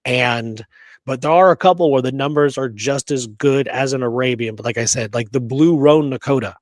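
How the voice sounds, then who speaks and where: A man's voice: medium pitch, moderate pace, no echo, studio quality. A man chatting casually and enthusiastically as a co-host, peppering his talk with slangy, friendly words. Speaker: co-host of a history talk show. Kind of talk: casual chat.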